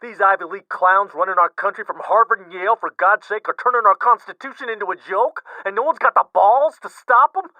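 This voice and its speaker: An actor playing a blustering middle-aged lawyer friend who talks in a hearty, blunt and profane way. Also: male voice, high-pitched, big pitch swings, normal speed, pushed and bright, dry recording, voice pushed up, full character voice, rough recording. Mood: outraged.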